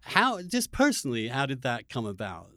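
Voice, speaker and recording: A man's voice: medium pitch, huge pitch swings, moderate pace, pushed and bright, no room sound. Articulate male podcast host, dry close sound. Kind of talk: conversational podcast interview.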